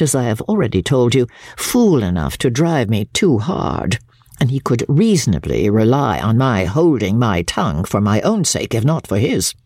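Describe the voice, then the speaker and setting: A woman voicing a bitter, scheming middle-aged Victorian mother in a dramatic audiobook reading, sardonic and disdainful, with deliberate delivery. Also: very deep voice, darkish tone, breathy edge, no room sound, clean studio sound, exaggerated voice.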